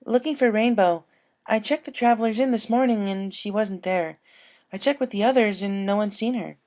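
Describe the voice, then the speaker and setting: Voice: mid-range voice, moderate pace, darkish tone, pitched above the performer's own voice, lightly acted. A performer voicing a polite, concerned young female pony in a cartoon fan story.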